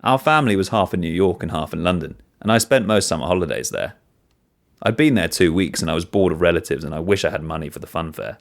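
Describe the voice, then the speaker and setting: Deep-voiced, animated male story narrator. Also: quick pace. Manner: expressive, storytelling. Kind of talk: first-person short story reading.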